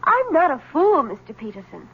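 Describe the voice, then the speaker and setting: A woman's voice: sits high, wildly swooping pitch, moderate pace, heavily acted. A woman acting a proud, distraught, devoted wife in a 1970s radio drama, with emphatic, precise, theatrical delivery. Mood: earnest, defiant. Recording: muffled old radio broadcast.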